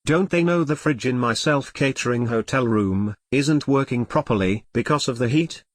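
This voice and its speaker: A man's voice: medium pitch, normal speed, dry recording, studio quality, lightly acted. A synthetic male text-to-speech voice playing a melodramatic, easily flustered computer turned elephant in an audio comedy drama. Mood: flustered, indignant. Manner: theatrical complaining in synthetic text-to-speech delivery.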